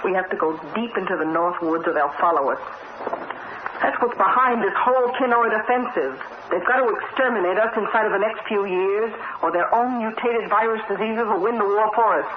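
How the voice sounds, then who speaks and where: An actress playing a brisk, stern, impatient woman who commands refugee survivors in a 1950s radio drama. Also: medium pitch, lightly acted. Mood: firm, urgent. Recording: tinny, noisy 1950s radio recording, roomy.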